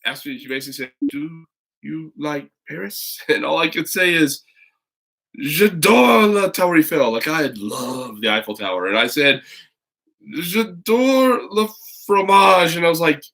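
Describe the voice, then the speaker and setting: A man's voice: a little high, lively intonation, measured pace, sharp tone. A middle-aged American man hosting a casual livestream, chatting in a rambling way to viewers and a child. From United States. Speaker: host, teacher reading stories to viewers. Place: home, makeshift video corner.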